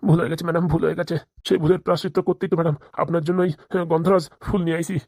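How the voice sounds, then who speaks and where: A woman acts out a grovelling cartoon officer who trips over words to please a superior. The voice sits slightly low and rattles along extremely fast, with stammers. It is recorded dry. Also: steady tone, warm tone, no echo. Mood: nervous, pleading. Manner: stammering, obsequious. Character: flustered, grovelling underling officer in a comedy cartoon. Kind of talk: Bengali comedy cartoon dialogue.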